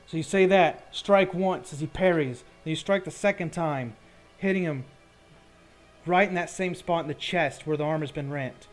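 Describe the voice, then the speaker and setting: A man's voice: fairly high pitch, normal speed, soft background music. A man narrating a tabletop role-playing game as game master, casual and descriptive.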